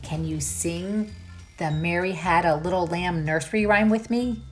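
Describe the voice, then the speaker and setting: A woman reading a storybook aloud for children, pausing to put questions and asides to the young listeners. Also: on the low side, faint music behind. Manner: unhurried, addresses the listener with questions. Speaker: children's read-aloud host.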